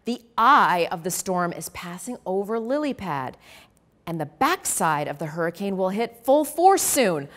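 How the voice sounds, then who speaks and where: A woman's voice: medium pitch, sing-song, unhurried, fairly bright tone. A woman voicing a concerned, decisive female frog newswoman in a storybook, urgent and emphatic.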